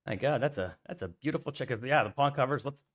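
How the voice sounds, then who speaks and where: A man co-hosting a casual chess stream, a lively storyteller with a slightly raspy voice who acts out quotes and swears freely. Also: mid-range voice, fast talker. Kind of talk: casual chat.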